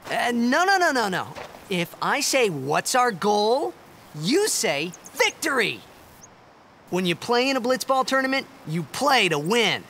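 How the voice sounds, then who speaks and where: An actor playing a brash, upbeat teenage athlete in a fantasy video game, with a boyish, earnest delivery. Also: male voice, very high-pitched, sing-song, measured pace, pushed and bright, slight background noise, exaggerated voice. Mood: brash, eager. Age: young adult. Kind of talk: video game voice acting, with reflective narration.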